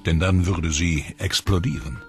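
Low-voiced male documentary narrator over music. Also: normal speed, gravelly.

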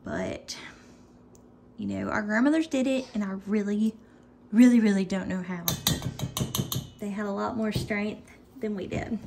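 A woman's voice: fairly high pitch, creaky, slight background noise. Chatty woman baking in her home kitchen. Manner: chatty, upbeat, talks straight to viewers. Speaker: baking vlogger. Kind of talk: casual baking tutorial.

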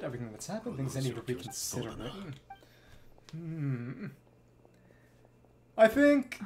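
A man's voice: medium pitch, a little mellow. A man streaming a video game, thinking aloud in casual, rambling commentary and reading bits of dialogue. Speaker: livestreamer playing a video game.

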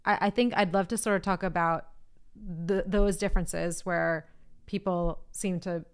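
A woman co-hosting a podcast, chatting casually and steering the conversation with measured, hedging remarks. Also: fairly low pitch, steady tone, clean tone. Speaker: podcast co-host.

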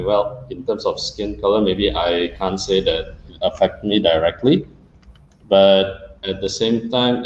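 A young man from Indonesia hosting an interview over a video call, asking long, frank questions full of fillers. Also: low-pitched. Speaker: podcast host. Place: small indoor room. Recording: roomy, dull video call sound with faint noise.

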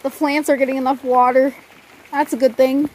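A young woman with a high voice chats and giggles as she films a rainy yard at night. Her narration is casual and upbeat, picked up by a handheld camera mic, with a faint hiss of rain behind her. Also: level tone, moderate pace, a little mellow, rough recording. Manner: chatty, giggly, describes what she films. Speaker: vlogger filming outdoors.

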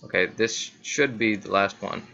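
A man's voice: fairly low pitch, moderate pace, bright, forceful tone, highs cut off. A man giving casual, rambling gameplay commentary, muttering reactions to himself as he plays. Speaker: gaming video host. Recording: clearly noisy home recording.

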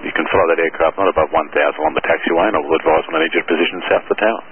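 A man's voice: extremely low pitch, fairly even intonation, racing. An Australian man working as an air traffic controller, giving clipped instructions over the radio. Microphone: aviation radio. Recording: tinny, noisy aviation radio transmission.

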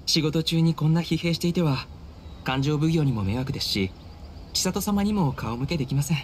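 A man's voice: fairly high pitch, lively intonation, a little fast, slight background noise, natural voice. A man voicing a humble, dutiful young samurai officer in a Japanese anime game, speaking formally and deferentially. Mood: apologetic, uneasy. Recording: studio voice over game music. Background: game music.

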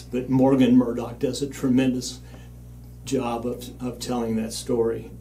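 An older man giving an earnest, measured banquet speech as the leader of a mission organization, standing at a lectern. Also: medium pitch, warm tone, recorded from a distance, slight background noise, rough recording. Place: studio with backdrop, at a lectern.

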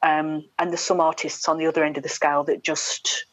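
A woman's voice: deep voice, level tone, brisk, husky. A middle-aged woman, a radio presenter, chatting in an opinionated, emphatic way as an interview guest over a video call. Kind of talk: casual podcast interview chat. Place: small room indoors, video call. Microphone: wired earphone headset. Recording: dull, echoey video-call audio.

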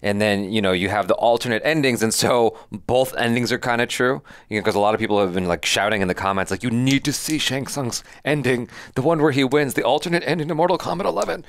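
A man's voice: mid-range voice, fast talker, few pauses, no echo, clean studio sound. A man in his thirties chatting casually and analytically as co-host of a reaction show, on a headset mic. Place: indoor studio room. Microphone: headset boom mic.

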